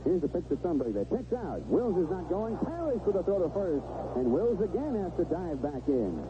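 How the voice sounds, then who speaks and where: Animated baseball radio announcer, man, noisy vintage broadcast. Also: high-pitched, normal speed, dull audio. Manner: clipped phrases, describing each play as it happens. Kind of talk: live radio sports commentary. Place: stadium radio booth.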